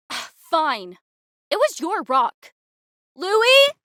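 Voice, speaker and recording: A woman's voice: very high-pitched, sing-song, very slow, a bit bright, no room sound, studio quality, full character voice. A woman playing a headstrong, snappy adventurer in an audio fantasy drama. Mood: grudging. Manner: snappy, grudging.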